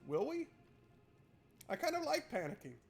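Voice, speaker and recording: A man's voice: very high-pitched, wildly swooping pitch, moderate pace, gravelly, faint music behind. A man streaming a game, switching between reading narration aloud and casual, irreverent, sweary joking commentary.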